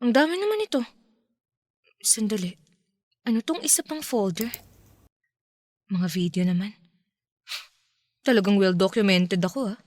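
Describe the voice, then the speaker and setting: A woman's voice: medium pitch, animated, a little slow, pauses often, darkish tone, lightly acted. A woman acting a hurt, outraged young girlfriend in a Filipino radio drama. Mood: curious, hushed. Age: young adult. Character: hurt, outraged young woman confronting her deceitful boyfriend.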